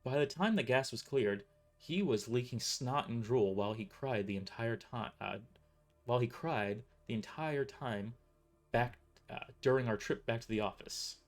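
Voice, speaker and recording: A man's voice: medium pitch, normal speed. A man reading his own first-person story aloud as narrator, often stumbling and restarting his sentences. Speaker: author narrating his novel.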